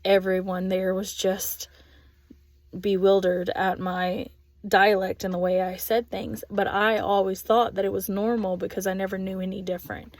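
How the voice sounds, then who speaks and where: A woman in her thirties with a Texas accent, an ESL aide giving a thoughtful spoken reflection with frequent pauses and ums. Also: medium pitch, flat delivery, no echo. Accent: Texan. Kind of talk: spoken reflection on a course video.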